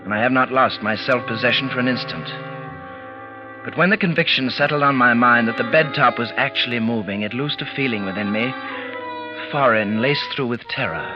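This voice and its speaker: A male actor plays a tense gentleman narrating a night of terror in a 1940s radio drama. He speaks in deliberate, broken phrases with theatrical dread. The sound is dull and aged, with suspense music underneath. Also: mid-range voice, lightly acted. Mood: spellbound terror. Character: tense man recounting a night of mounting terror. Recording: muffled vintage 1940s radio recording, music behind.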